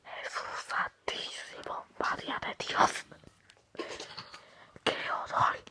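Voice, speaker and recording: A man's voice: slow, sharp tone. A man hosting his own video channel, whispering in fear and pleading over and over. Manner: frightened whispering, pleading and repetitive. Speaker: YouTube vlogger. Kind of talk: whispered spooky vlog.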